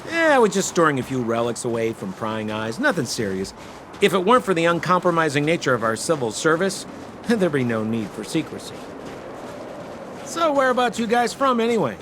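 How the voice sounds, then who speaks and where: A man's voice: on the high side, sing-song, fairly quick, background noise. An actor playing a shifty, chatty con man in a video game, glib and theatrical. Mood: smooth, evasive. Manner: glib, dramatic.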